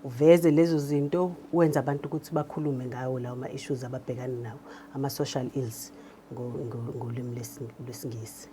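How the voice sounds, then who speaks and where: Deep, mellow South African woman. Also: steady tone, brisk, slight background noise. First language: Zulu. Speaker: radio drama producer. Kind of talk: explanatory interview answers. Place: radio drama studio.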